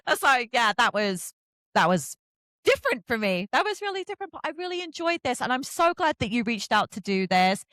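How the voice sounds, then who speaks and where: A woman hosts a casual interview with a very animated, swooping voice at a middling pitch. She is effusive and chatty and likes to act out small exchanges. The recording is dry and close. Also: a little fast, no echo. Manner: effusive, chatty, acts out little dialogues. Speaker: podcast host.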